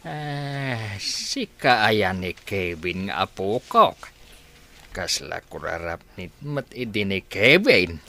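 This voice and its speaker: A man's voice: fairly low pitch, big pitch swings, takes it slowly, vocal fry, pushed and bright, exaggerated voice. A man acting in an Ilocano radio drama as a puzzled, reflective old grandfather. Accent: Filipino, Ilocano. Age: older.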